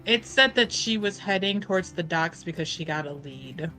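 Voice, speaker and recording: A woman with a bright voice and very lively intonation plays a roleplaying game. She talks casually, working out what her character does. Music runs underneath. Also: lowish voice, normal speed, poor audio. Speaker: player in a tabletop roleplaying game. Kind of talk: casual improvised game chat.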